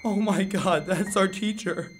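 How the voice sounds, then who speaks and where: A man's voice: high-pitched, measured pace, soft background music. An actor playing a nervous, hesitant teenage boy in a radio drama, stammering and slangy. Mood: terrified.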